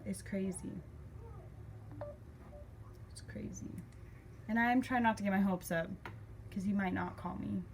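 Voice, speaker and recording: A woman's voice: medium pitch, fairly even intonation, a little slow, long pauses. A young woman telling a personal story casually and emotively, acting out remembered conversations as she goes. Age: young adult. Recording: clear background noise.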